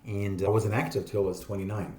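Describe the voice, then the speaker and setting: A man in his fifties from Israel, a podcast guest explaining his ideas in an animated, conversational way. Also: lowish voice, slight background noise. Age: 50s. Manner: animated, explaining with quick asides and laughs. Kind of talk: casual interview talk. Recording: echoey room.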